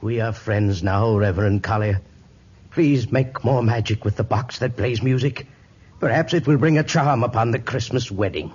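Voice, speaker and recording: An actor playing a proud, troubled high priest of a jungle village in a 1950s radio drama, with formal, declamatory speech. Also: male voice, normal speed, a little mellow, husky, lightly acted. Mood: grateful. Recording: dull old radio recording, music behind.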